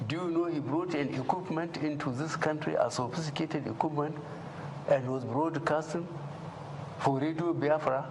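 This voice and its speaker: A man's voice: on the high side, moderate pace. Indignant man in a noisy interview clip. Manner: indignant, fires rhetorical questions.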